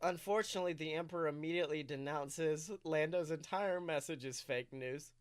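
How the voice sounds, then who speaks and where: A man co-hosting a podcast, chatting casually in an excitable, jokey storytelling manner. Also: a little high, a little fast, clear, resonant tone. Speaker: podcast co-host. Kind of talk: animated casual podcast chat.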